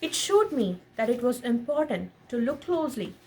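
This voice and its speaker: A woman reading a picture book aloud in an expressive storytelling style, pausing to explain and ask questions of a child and viewers. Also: on the high side, poor audio. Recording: noticeable background noise.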